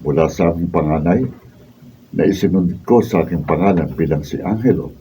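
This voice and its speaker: A Filipino man acting as an earnest, steadfast young husband and father in a radio drama, speaking in solemn, measured declarations. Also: low voice, warm tone, natural voice. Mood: reflective, hopeful. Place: recording studio, behind-the-scenes camera recording. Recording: roomy distant mic, faint background noise.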